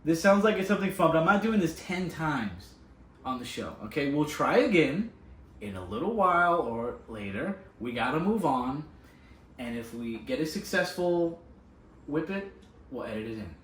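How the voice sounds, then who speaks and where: A man hosting a casual podcast with friends, talking loudly and defensively, his voice jumping up when he protests. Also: fairly high pitch, big pitch swings, moderate pace. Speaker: podcast host. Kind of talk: casual group podcast banter. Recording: roomy sound from a distant mic.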